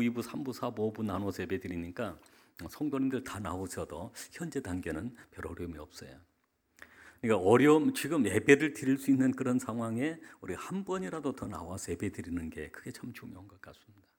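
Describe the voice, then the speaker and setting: A man's voice: lowish voice, a little mellow, husky. A man from South Korea preaching a church sermon as a pastor, with emphatic delivery.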